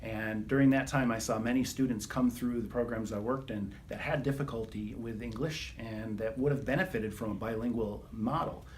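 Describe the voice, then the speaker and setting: Middle-aged man, preschool teacher, steady explainer, faint room hum. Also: mid-range voice, normal speed, few pauses. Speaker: early childhood teacher. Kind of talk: casual spoken presentation.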